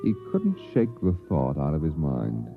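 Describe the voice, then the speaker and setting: A male actor narrating an uncanny story in a measured, dramatic old-time radio style. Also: rumbling low, wildly swooping pitch, vocal fry, heavily acted. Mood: grave. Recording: tinny old radio recording with music.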